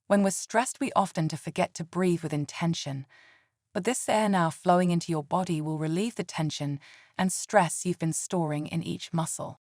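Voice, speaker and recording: Woman, meditation guide, lowish pitch, gentle soothing delivery, scripted, dry close sound. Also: moderate pace, no room sound.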